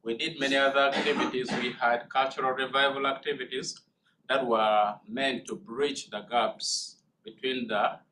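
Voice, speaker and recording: A young man addresses a seminar room in measured phrases, pausing often with uh. His tone is bright and forceful at an ordinary pitch. A faint room hum runs underneath. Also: little pitch movement, poor audio. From northern Uganda. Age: young adult. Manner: measured, with many uh pauses. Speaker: guest speaker, student. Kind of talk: presentation.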